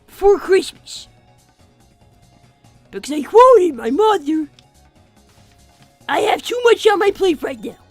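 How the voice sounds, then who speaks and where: A woman's voice: very high-pitched, sing-song, slow, long pauses, no echo, soft background music, full character voice. A woman voicing a bratty, blunt five-year-old cartoon boy who is demanding and melodramatic, in an improvised comedy livestream. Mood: matter-of-fact. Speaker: livestream co-host in character.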